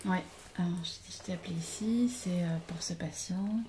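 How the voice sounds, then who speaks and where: A hospital doctor, played by a woman, speaks in a hushed and fairly low voice, slipping often into a whisper. She is coaxing and a little exasperated in a soft ASMR roleplay. There is faint noise on the recording, with small mouth clicks. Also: moderate pace, natural voice. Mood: hushed, businesslike. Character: female hospital doctor, mildly exasperated with her colleague, coaxing.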